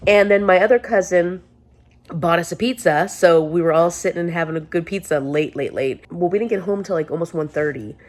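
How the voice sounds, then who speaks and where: A chatty, upbeat woman with a fairly low voice, talking casually to her camera in a rambling way. She sounds a little congested, with a sore throat. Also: a little fast. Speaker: book vlogger.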